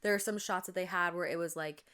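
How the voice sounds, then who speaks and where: A woman's voice: lowish voice, steady tone, fast talker, a bit bright, clean tone. A young woman hosting a casual podcast, chatty and rambling with lots of fillers and self-corrections, her voice a little congested and tired. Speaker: podcast host. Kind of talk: casual chat.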